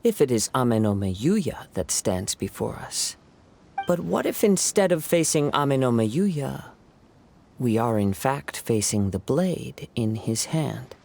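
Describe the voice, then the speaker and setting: A man voicing a calm, sharp young detective in a game, laying out his reasoning in a composed, analytical way. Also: medium pitch, takes it slowly, gravelly, full character voice.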